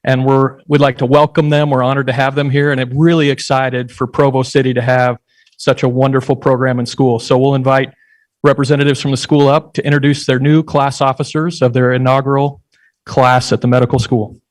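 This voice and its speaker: A man makes a formal introduction at a council meeting. His pitch is average and barely varies, giving an even delivery. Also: a little fast. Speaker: city council vice chair.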